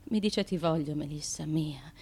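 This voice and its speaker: An actress playing a tormented, dutiful daughter and tyrant's wife of ancient Greece in a feverish, halting radio monologue. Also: female voice, sits low, husky. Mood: anguished, intense. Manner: feverish, halting, repeating words, swinging between hush and intensity.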